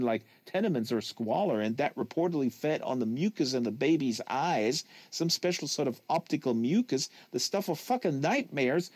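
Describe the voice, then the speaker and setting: A man's voice: a little high, darkish tone, husky, no echo. A man reading a literary novel aloud in a live reading, leaning on phrases for emphasis.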